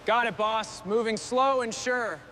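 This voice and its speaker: High, bright-voiced man in a reverberant space. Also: a little slow, a little hiss or hum. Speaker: workshop crew member. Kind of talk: brief work calls.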